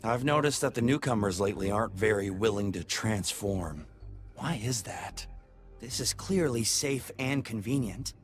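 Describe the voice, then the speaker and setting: A man's voice: lowish voice, expressive, normal speed, soft background music, close to an everyday voice. A man voicing a smug, eerily persuasive conformist stranger in game dialogue, coaxing and condescending. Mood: puzzled, smug. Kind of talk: game dialogue read aloud on stream.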